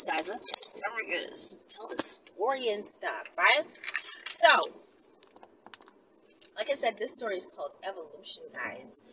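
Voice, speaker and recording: A woman's voice: medium pitch, pushed and bright, a little hiss or hum, rough recording. A woman talking casually to her viewers as she tells and reads stories, brash and teasing, swearing freely and tossing in asides. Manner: brash, profane, teasing, with frequent tag questions and asides. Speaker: vlogger telling and reading stories to viewers. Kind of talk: casual direct-to-viewer chat and storytime.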